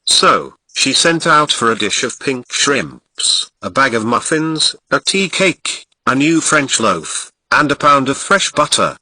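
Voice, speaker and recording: Man, Ghanaian accent, storybook narration, very bright sharp tone, lively swinging pitch, dry close sound. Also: slow, few pauses, no echo, poor audio. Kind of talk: storybook reading.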